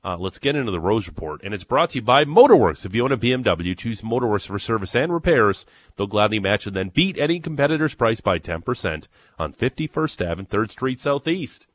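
Excitable Ontario-accented radio host. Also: male voice, lowish voice, a little fast, no echo. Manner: excitable, teasing banter. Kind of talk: morning sports radio chat. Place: radio studio. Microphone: studio microphone.

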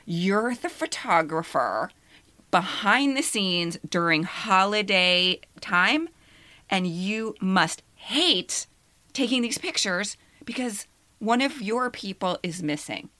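A woman's voice: mid-range voice, animated, takes it slowly, sharp tone, no echo. A middle-aged woman who co-hosts a podcast, speaking in an emphatic, plain-spoken way as she chats and reads personal essays. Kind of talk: casual chat and personal essay reading.

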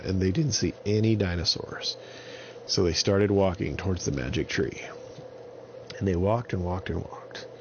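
Low, creaky male bedtime storyteller. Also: darkish tone, highs cut off, a little hiss or hum. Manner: simple, repetitive phrasing for small children. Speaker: children's storyteller. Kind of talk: improvised bedtime story narration.

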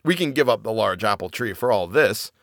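A man's voice: on the low side, animated, fairly quick, fairly bright tone, no room sound, clean studio sound. A man voicing a poor, fearful miller and father in a storybook tale, earnest and pleading. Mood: pleased.

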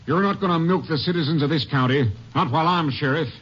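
A man's voice: fairly high pitch, fast talker, fairly bright tone. An actor playing a stern, honest male sheriff in a 1950s radio drama, blunt and accusing. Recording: muffled old radio transcription.

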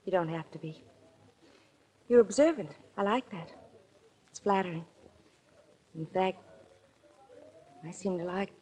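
Low-voiced seductive radio heiress. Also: female voice, unhurried, pauses often, mellow, breathy edge, rough recording. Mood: romantic, inviting. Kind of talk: 1940s radio drama acting. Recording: vintage 1940s radio recording.

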